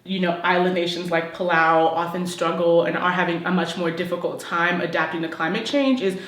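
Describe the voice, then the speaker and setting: A woman's voice: fairly low pitch, fairly even intonation, a little fast, a bit bright. Chatty woman hosting in an echoey room. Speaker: vlog host interviewing a friend. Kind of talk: casual chatty interview. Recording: roomy, echoey sound.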